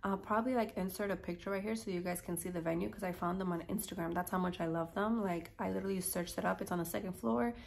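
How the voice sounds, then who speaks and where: A woman's voice: fairly low pitch, steady tone, quick pace, gravelly, few pauses, darkish tone, a little hiss or hum. A young woman, chatting casually and informally to camera as a lifestyle vlogger. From Queens, New York, United States.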